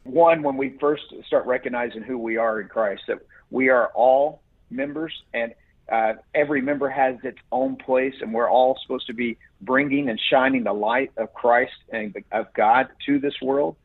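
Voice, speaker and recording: A husky, somewhat breathy man calls in as a guest, heard over a narrow, muffled phone line. He answers in an earnest, preacherly way, often restarting his sentences. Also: mid-range voice, animated, normal speed.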